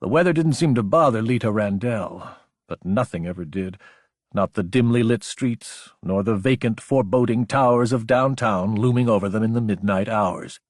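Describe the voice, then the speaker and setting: A man's voice: fairly low pitch, moderate pace, darkish tone, dry recording, studio quality. A man reading scripted fiction as an audiobook narrator.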